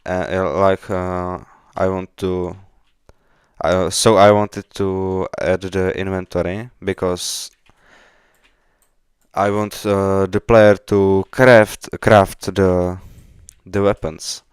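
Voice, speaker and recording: A man from the Czech Republic with a Czech accent, chatting casually on a live coding stream in halting, hesitant non-fluent English. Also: low voice, little pitch movement, clear, resonant tone, dry recording, studio quality. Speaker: game developer live streamer. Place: indoors at a computer, keyboard clicks behind.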